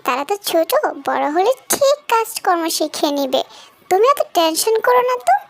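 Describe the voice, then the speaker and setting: A woman's voice: very high-pitched, sing-song, normal speed, full character voice. A woman voicing a dutiful, caring young eldest daughter in a cartoon fairy tale, with a patient, earnest manner. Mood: reassuring.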